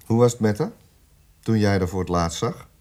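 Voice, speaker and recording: A man acting in a radio drama as a cold, methodical police interrogator with a measured, official way of talking. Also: fairly low pitch, a little mellow, close to an everyday voice. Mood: cold, pressing. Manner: measured, insistent, official questioning. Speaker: actor.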